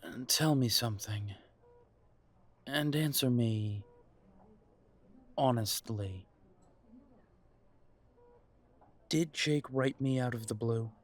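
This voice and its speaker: A man's voice: medium pitch, takes it slowly, long pauses. A man playing a wounded young werewolf son in an audio drama, confrontational and sardonic with his parents. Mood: suspicious. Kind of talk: audio roleplay drama acting.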